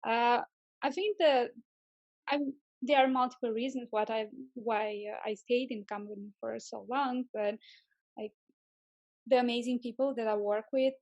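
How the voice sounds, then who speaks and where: Female interviewee, higher pitch, dry sound, casual, hesitant but keen delivery. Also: fairly even intonation, measured pace, darkish tone, no echo, muffled recording. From Romania. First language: Romanian. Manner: hesitant, searching for words, enthusiastic. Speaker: interview guest, tech manager.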